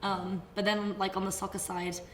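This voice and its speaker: A woman's voice: medium pitch, little pitch movement, normal speed. A young woman with an English accent, a college soccer player, chatting casually with lots of "like". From England. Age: young adult. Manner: rambling, lots of "like", light laughter. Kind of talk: casual chat. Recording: echoey room, faint noise.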